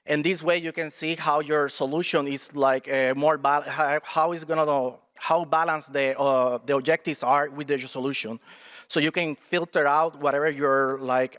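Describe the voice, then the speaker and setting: Halting young man presenting in an echoey hall. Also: on the high side, fairly bright tone, clear, resonant tone. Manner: halting, many fillers and restarts. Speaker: student presenter. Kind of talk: technical pitch and answering questions.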